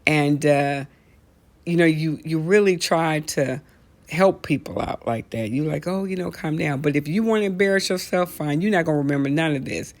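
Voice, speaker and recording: A middle-aged American woman hosting a casual talk show, telling stories in a blunt, animated, colloquial way and repeating phrases for emphasis. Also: sits low, normal speed. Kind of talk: casual comedic rant with storytelling.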